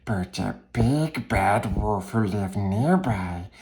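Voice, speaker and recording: A man voicing a wretched, whiny, gleeful cave creature who reads a children's storybook theatrically, with raspy, strained speech and muttered asides to himself. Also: fairly low pitch, lively intonation, takes it slowly, a little mellow. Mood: excited, theatrical. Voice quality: raspy, strained, swooping between growl and squeal. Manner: muttering asides to self, exclaiming, distorted pet words. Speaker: reader, host of the series.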